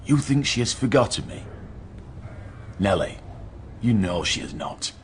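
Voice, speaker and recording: A man's voice: lowish voice, very animated, measured pace, a little hiss or hum. An actor playing a brooding, cruel and vengeful man in a gothic radio drama. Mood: intense.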